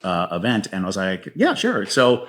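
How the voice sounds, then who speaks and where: A man with a low voice talks as an interview guest about his craft. He speaks in a halting, thoughtful way, building explanations out of small quoted asides. The delivery is conversational and unscripted. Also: wildly swooping pitch, fairly bright tone. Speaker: guest playwright.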